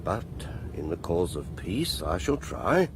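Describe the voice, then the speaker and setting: A man's voice: sits low, sing-song, slow, noisy background, full character voice. An actor playing an arrogant older English father, stiff, curt and condescending, in a radio drama. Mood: grudging, dismissive. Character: arrogant, boorish older father, grudgingly making peace. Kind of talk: British radio drama acting.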